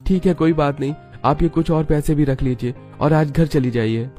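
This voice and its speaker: A man's voice: on the high side, moderate pace, mellow, soft background music, voice pushed up, close to an everyday voice. A man voicing a kind, respectful young male biker in a cartoon story, speaking with polite concern.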